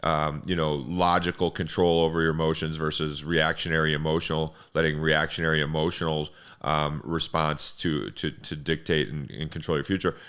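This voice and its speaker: A man's voice: extremely low pitch, level tone, moderate pace, few pauses, a bit bright, clean tone. A man hosting a conversational motivational discussion, reflectively restating and building on points. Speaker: host.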